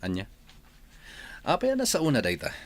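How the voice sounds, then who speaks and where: A man's voice: medium pitch, huge pitch swings, a little fast. A man playing a jealous, short-tempered husband and boss in a radio drama. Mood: suspicious.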